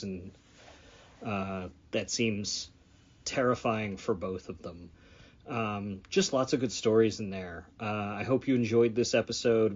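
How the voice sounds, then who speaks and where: A man hosting a podcast casually without a script, speaking in a halting, loosely rambling way. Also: on the low side, a little hiss or hum. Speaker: podcast host. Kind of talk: casual unscripted podcast outro. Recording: slightly dull lo-fi sound.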